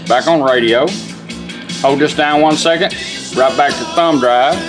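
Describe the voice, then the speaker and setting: Man, slightly higher-pitched voice, folksy, instructional, casual hands-on demo, clear music in the background. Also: measured pace. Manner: folksy, plain-spoken, instructional. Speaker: radio restorer demonstrating a product. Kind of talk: casual hands-on product demonstration. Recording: music playing behind the voice. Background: music from a radio.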